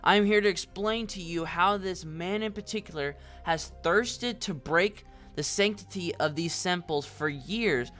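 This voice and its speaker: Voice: medium pitch, measured pace, hardly any pauses, fairly bright tone, soft background music, lightly acted. An actor playing a formal, accusatory prosecuting lawyer with an oratorical delivery in an audio drama. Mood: formal, accusing. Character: formal, theatrical prosecuting lawyer in a fantasy court. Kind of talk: scripted audio drama courtroom speech.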